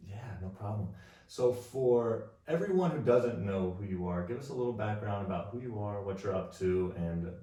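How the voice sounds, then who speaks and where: A man's voice: on the low side, lively intonation, moderate pace, mellow. A man hosting a casual interview podcast, chatting with his guest. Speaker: podcast host. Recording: echoey room, distant from the mic.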